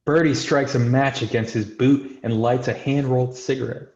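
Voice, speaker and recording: A man's voice: medium pitch, steady tone, moderate pace, a little mellow, reverberant, dull audio. A man reading screenplay stage directions as scripted narration.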